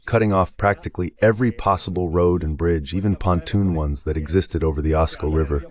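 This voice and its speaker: A man's voice: low voice, a little fast. A man giving explanatory, conversational commentary on a war as a guest analyst in a dubbed live talk.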